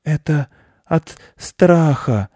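A man voicing a small, proud, frightened but plucky storybook hare in a shrill, dramatic, boastful manner. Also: animated, drawn-out words, warm tone, no echo, studio quality, full character voice. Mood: faint, proud.

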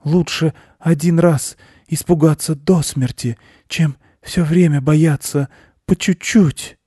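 A man voicing a small, proud, frightened but plucky storybook hare in a shrill, dramatic, boastful manner. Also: fairly even intonation, slow, warm tone, breathy edge, no echo, clean studio sound. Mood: faint, proud.

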